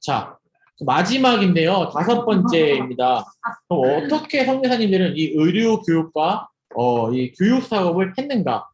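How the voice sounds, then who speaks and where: A man's voice: high-pitched, moderate pace, roomy sound. A young man leading an interactive youth sermon over a video call, asking his students questions as he goes. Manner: lively, asks students questions and jokes with them. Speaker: youth ministry preacher.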